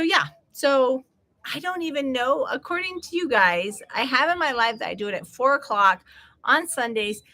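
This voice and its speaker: A woman's voice: high voice, pushed and bright. A middle-aged American woman hosting a casual livestream chat, chatty and rambling, laughing often between asides. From United States. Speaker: livestream host.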